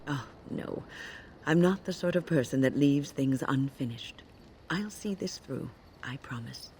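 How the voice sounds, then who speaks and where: An actress playing a kindly, weary elderly woman mage in a video game, speaking gently and with dignity. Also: very deep voice, expressive, unhurried, slight background noise. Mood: gently resolute. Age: older. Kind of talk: video game character dialogue.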